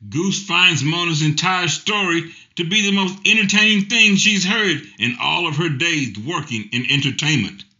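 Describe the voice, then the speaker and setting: A man narrating a radio drama in a measured storytelling manner. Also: a little high, animated, bright, forceful tone. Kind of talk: scripted narration. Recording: muffled with music underneath.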